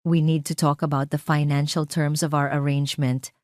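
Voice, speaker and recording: A wronged Filipino wife, voiced by a female narrator in an audio drama. She speaks with careful restraint. The voice is low and soft-toned, in a dry recording. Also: level tone, normal speed, dry recording, close to an everyday voice. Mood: composed, resolute. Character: wronged wife, hesitant but growing composed and resolute.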